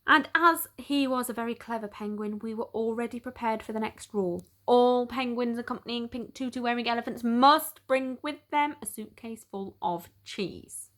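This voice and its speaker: A woman's voice: fairly high pitch, normal speed, hardly any pauses, fairly bright tone. A woman storyteller reading a children's picture book aloud, expressively, with dramatic emphasis on key words.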